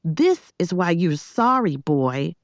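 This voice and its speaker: A woman's voice: sits high, animated, takes it slowly, darkish tone, no room sound, pitched above the performer's own voice. A woman voicing a bossy, fierce young girl in a storybook, snapping and scolding with heavy emphasis.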